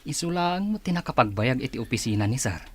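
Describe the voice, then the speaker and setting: Husky, gossipy Filipino office worker. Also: male voice, mid-range voice, big pitch swings, fast talker. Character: gossipy, curious male office employee. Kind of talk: Filipino radio drama acting.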